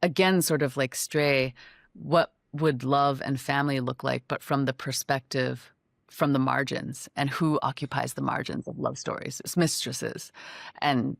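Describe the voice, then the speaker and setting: A woman from Hong Kong, a documentary filmmaker, answering interview questions in a thoughtful, measured way. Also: rumbling low, fairly even intonation, creaky, dry recording, clean studio sound. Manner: thoughtful, measured, frequent "you know".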